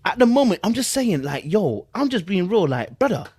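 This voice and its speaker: A man's voice: high voice, sing-song, moderate pace, darkish tone, breathy edge, no echo. A British man doing casual online commentary, reading aloud dramatically and repeating phrases for emphasis in a teasing, incredulous way.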